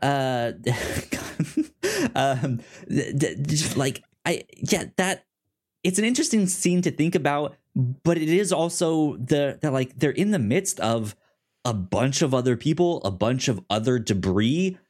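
A man co-hosting a casual film chat in a halting way, often repeating the starts of words. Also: medium pitch, sing-song, darkish tone. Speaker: podcast co-host.

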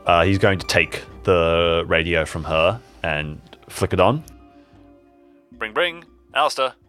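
A man's voice: sits low, expressive, takes it slowly, bright, forceful tone, faint music behind. A man playing in an improvised audio drama, talking casually and stumbling a little as he makes up his part. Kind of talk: casual improvised role-play chat.

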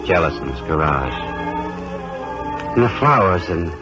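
An actor playing a gentle, devout small-town pastor in an earnest, measured 1940s radio drama style. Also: male voice, sits low, animated. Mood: tender, wistful. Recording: dull vintage radio recording with music.

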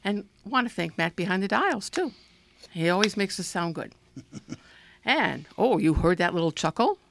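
A woman's voice: mid-range voice, very animated, moderate pace, gravelly, background noise. A woman hosting a radio show, interviewing a guest in a chatty, informal way. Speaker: radio host.

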